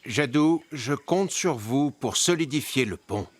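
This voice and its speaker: Serious, responsible village leader. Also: male voice, medium pitch, animated, unhurried, no echo. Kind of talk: animated series dubbing.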